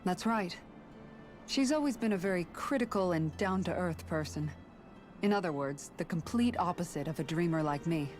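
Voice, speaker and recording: A woman voicing an earnest, idealistic young guardswoman in a video game, speaking sincerely and gently. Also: fairly low pitch, moderate pace, lightly acted. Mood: fond, earnest. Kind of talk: scripted video game dialogue. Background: game music.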